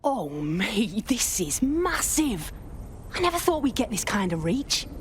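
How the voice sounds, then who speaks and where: An actress playing an excitable, foul-mouthed hacker in a video game, with a gushing, slangy manner. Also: female voice, on the high side, unhurried, a little hiss or hum. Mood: excited, urgent. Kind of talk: scripted video game dialogue.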